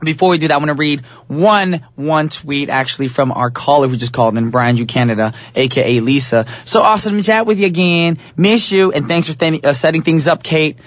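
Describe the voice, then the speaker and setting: A young man with a husky voice, hosting a radio show in a casual, playful way from a studio mic. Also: fairly high pitch, expressive, a little fast, few pauses, dry recording. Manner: easygoing, playful, says y'all.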